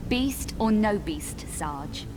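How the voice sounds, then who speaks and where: An actress playing a cool, tough young female adventurer in a video game, with a brisk, dry, unflappable manner. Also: medium pitch, animated, over music, low-quality recording. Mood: cool, businesslike.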